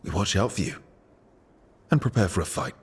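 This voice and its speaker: An actor playing a steady, supportive and slightly wry male team leader in a video game. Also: low voice, fairly quick, slightly rough, heavily acted. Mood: reassuring. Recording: long reverb, faint music.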